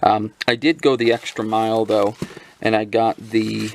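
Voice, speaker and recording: Low gravelly guy rambling thru a gadget review, lots of ums. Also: flat delivery, a little slow. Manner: rambling, many fillers and restarts. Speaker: radio gear reviewer. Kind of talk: casual product review.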